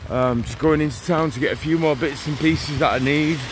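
A man's voice: on the high side, a little fast. A man in his forties vlogging on a phone held at arm's length as he walks outdoors in the rain, preaching in an earnest, urgent way and often repeating himself. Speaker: street evangelist vlogger. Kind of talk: walk-and-talk preaching vlog. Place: outdoors on a town street in the rain. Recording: phone on a street with traffic noise. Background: passing traffic.

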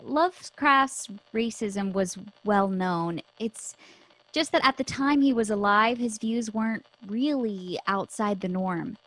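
A woman's voice: medium pitch, slow pace, fairly bright tone, poor audio. A woman acting in a radio drama as a candid, thoughtful, bookish co-host. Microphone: close studio mic on boom arm.

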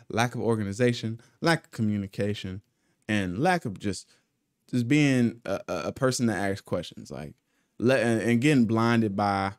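A man's voice: fairly low pitch, clean tone, no echo. A young man hosting his own channel, telling a story in a loose, rambling, profane way into a studio mic at home. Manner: laid-back, profane, rambling with restarts. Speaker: channel host. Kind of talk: casual storytime. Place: small home studio with foam panels. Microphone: studio mic with pop filter on arm.

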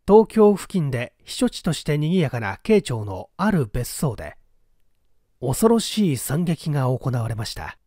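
Expressive male storyteller, lively pitch swings. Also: no echo, clean studio sound. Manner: measured, polite storytelling delivery. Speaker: audiobook reader. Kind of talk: dramatic literary narration of a mystery story.

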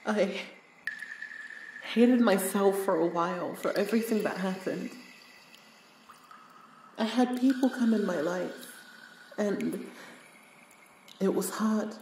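A woman performing a sorrowful, forgiving woman in an audio drama, soft and tearful, speaking in a halting, earnest and tender way. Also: mid-range voice, lightly acted. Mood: sorrowful confession. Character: sorrowful, forgiving woman reconciling with her wounded past self. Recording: roomy, distant mic, faint noise.

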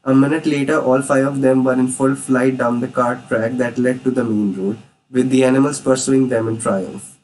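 A man reading a classic novel aloud chapter by chapter for learners, steady and plain, with the odd slip he corrects. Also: medium pitch, normal speed, recorded from a distance, slight background noise, poor audio. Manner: steady reading with small slips and restarts. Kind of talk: plain read-aloud narration.

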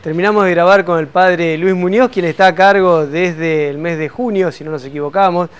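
A man in his thirties hosting a television interview show, speaking in a smooth broadcast manner. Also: sits high, fairly even intonation, moderate pace. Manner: friendly, smooth, broadcast-style introduction. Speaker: TV show host. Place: indoors for intro, then outdoor patio.

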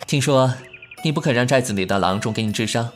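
A man playing a refined, caring but sharp-tongued young bandit leader in a Chinese period audio drama, speaking earnestly in literary phrases. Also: mid-range voice, fast, soft background music, lightly acted. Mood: cool teasing.

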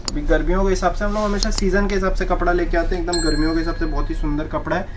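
A young man with a slightly high voice gives a brisk wholesale sales pitch for sarees. He sits on the floor of an indoor shop, repeating each price in English. Faint room noise hums behind him. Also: steady tone. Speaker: saree seller. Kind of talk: wholesale product showcase.

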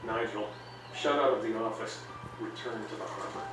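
A man's voice: on the low side, fairly even intonation, normal speed. A man reading a children's read-along story as a scripted narration. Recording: echoey, distant, with loud music. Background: loud music.

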